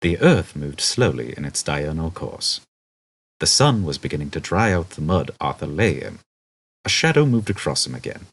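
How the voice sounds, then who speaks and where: A man narrating a comic novel as an expressive audiobook reader. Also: very deep voice, normal speed, husky.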